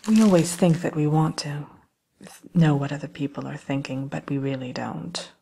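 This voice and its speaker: A woman playing a sly, genteel evil queen shopkeeper in a soft, breathy, often whispered ASMR role play. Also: sits low, fairly even intonation, full character voice. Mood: sly, confiding. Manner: unhurried, genteel, slyly menacing.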